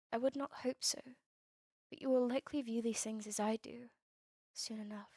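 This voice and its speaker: A woman playing a melancholy, courteous vampire in an ASMR roleplay drama, with formal, old-fashioned, measured phrasing. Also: a little high, steady tone, warm tone, no echo, clean studio sound. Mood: somber, pessimistic. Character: melancholy, courteous female vampire, turned young over a century ago.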